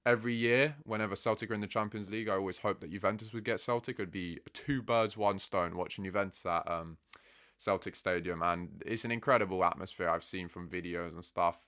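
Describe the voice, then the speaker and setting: Deep-voiced young man, chatty. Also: fairly quick. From England. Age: 20s. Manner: relaxed, chatty, says "bro" often. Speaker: football content creator. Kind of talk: casual Q&A chat.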